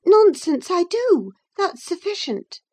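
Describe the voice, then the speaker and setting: A woman's voice: very high-pitched, sing-song, slow pace, warm tone, voice pushed up, exaggerated voice. A woman voicing a headstrong, anxious young upper-class woman in a dramatised reading of a classic novel, impulsive and insistent. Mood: petulant, defiant.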